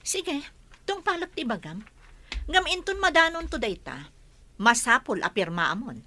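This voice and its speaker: Woman acting curt villainess aunt, bright animated voice. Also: sits high, normal speed, slight background noise, full character voice. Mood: demanding, suspicious. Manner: curt, demanding. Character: hard, demanding, suspicious villainess aunt. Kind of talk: Ilocano audio drama acting.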